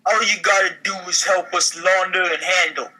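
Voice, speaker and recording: Man putting on a sharp, sleazy mafia recruiter. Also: high-pitched, steady tone, moderate pace, full character voice, low-quality recording. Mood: slick, coaxing. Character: sleazy fast-talking male mafia recruiter, slick and pushy. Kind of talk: amateur comedy table read.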